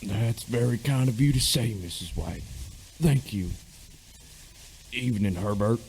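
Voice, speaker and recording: A man's voice: fairly low pitch, measured pace, darkish tone, breathy edge, exaggerated voice. A man playing a reluctant middle-aged sergeant major in an amateur radio play, speaking hesitantly in old-fashioned phrases. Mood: genial.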